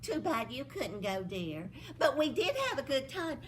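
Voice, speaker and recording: A woman's voice: high-pitched, sing-song, unhurried, very noisy, voice pushed up. A woman doing a gossipy, boastful stepsister.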